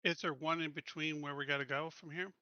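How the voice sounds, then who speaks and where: A man with a very bright, sharp voice chats casually as a player in a tabletop game, stopping and restarting his sentences. The audio is dry and somewhat dull. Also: a little high, fairly even intonation, no room sound. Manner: halting, casual, trailing off.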